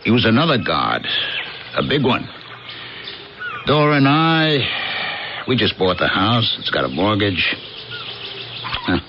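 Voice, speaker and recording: A man acting in an early 1980s radio drama as a homesick, bewildered American factory foreman, plain-spoken and halting. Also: lowish voice, very animated, muffled recording. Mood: wistful, explaining. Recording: noisy, band-limited old radio broadcast recording.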